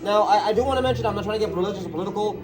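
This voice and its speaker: Brief: stuffy-nosed man with a fairly high voice, rambling co-host, music under the talk. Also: rough recording. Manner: rambling, halting, joking asides. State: congested head cold. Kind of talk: casual gaming chat.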